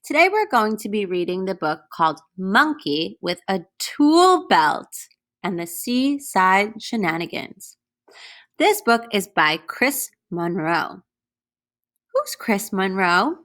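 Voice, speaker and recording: Female teacher for children's read-aloud. Crisp, bright timbre, unhurried delivery, addresses young listeners directly. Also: medium pitch, big pitch swings. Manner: deliberate, pausing to question young listeners. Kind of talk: read-aloud storybook narration.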